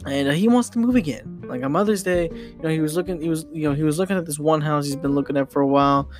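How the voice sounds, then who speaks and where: A young man hosting a solo podcast, rambling excitably with casual slang and frequent stumbles and restarts. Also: fairly high pitch, fast, music in the background. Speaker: podcast host.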